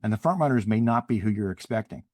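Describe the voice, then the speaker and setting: A man's voice: on the low side, animated, quick pace, a little mellow. A man hosting a podcast, introducing a guest in a friendly manner and then asking questions. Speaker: podcast host.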